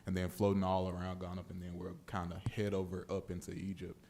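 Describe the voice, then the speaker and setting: A young man gives an informal report to a board. He talks quickly in a low voice with plenty of vocal fry, and his pitch stays rather level. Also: completely flat delivery, background noise. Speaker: board member giving a report. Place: public board meeting room.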